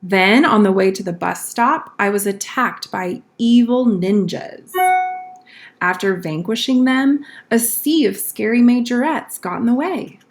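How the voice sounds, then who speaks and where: A woman's voice: on the high side, measured pace, soft background music. A woman voicing a schoolboy from a storybook, earnestly telling tall-tale excuses. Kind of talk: storybook read-aloud.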